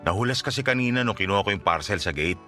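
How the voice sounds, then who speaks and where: A young, makeup-loving boyfriend in a radio drama. His lowish voice moves quickly, teasing and easily turning defensive, with clear music underneath. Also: expressive, sharp tone. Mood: playful, sassy. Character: young makeup-loving boyfriend, vain, touchy, playfully sassy.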